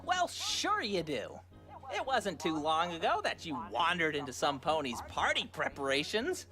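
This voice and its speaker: A man acts out a young male cartoon pony who relishes his threats. The pitch leaps around constantly as he drags out mocking, chuckling taunts. Music plays clearly behind him. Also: wildly swooping pitch, normal speed. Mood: mocking menace. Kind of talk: fan fiction audio drama acting.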